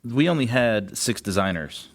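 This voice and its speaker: A man's voice: medium pitch, animated, normal speed. A man as a podcast guest, telling anecdotes casually and self-deprecatingly, chuckling as he goes. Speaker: podcast guest, game designer. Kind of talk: casual anecdotal interview chat.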